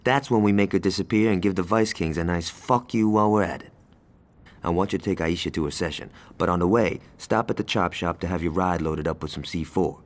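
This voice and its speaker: A man's voice: deep voice, a little fast, close to an everyday voice. An actor playing a brash, hot-tempered male gang enforcer in a game cutscene, blunt, sarcastic and profane. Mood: scheming. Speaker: gang lieutenant in a crime game. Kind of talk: video game cutscene acting.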